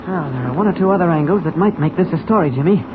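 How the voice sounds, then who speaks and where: Mild-mannered but urgent newspaperman male actor, lively emphatic delivery, old radio drama on a hissy recording. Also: on the high side, phone-quality sound, natural voice. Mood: intrigued. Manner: urgent, theatrical, deliberate emphasis. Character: brisk, shrewd, mild-mannered adult male newspaper reporter. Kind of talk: 1940s radio serial acting.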